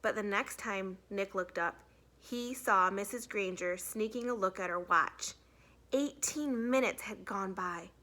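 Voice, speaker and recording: A woman's voice: medium pitch, unhurried, pushed and bright. A woman narrating a children's storybook as a teacher reading aloud to a class.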